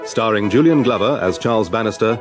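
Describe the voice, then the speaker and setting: A man's voice: fairly low pitch, expressive, quick pace. A man reading a scripted cast and credits announcement for radio. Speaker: continuity announcer. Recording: brass theme music behind voice.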